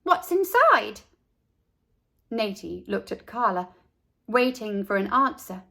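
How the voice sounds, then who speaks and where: A woman storyteller reading a children's storybook aloud with deliberate, dramatic phrasing. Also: medium pitch, gravelly, fairly bright tone.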